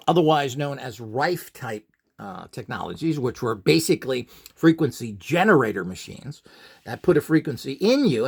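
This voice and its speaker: A man with a fairly high, crackly voice lectures informally as an instructor. His pitch swings in a lively way as he rambles through explanations. He often throws out rhetorical questions and answers them himself.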